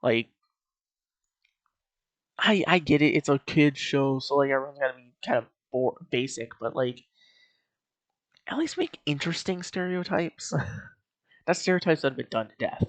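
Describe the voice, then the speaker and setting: A man's voice: fairly high pitch, a little slow, pauses often, dry recording. A man hosting a casual, opinionated video commentary, speaking emphatically as he ranks and critiques. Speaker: video host giving opinions on an anime series. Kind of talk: casual commentary ranking a cartoon series.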